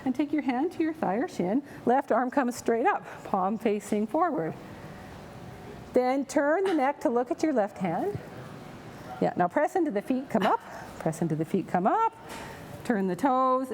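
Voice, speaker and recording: A woman's voice: on the high side, big pitch swings, normal speed, darkish tone, slight background noise. A woman giving step-by-step yoga instructions, patient and directive, repeating her cues. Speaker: yoga teacher.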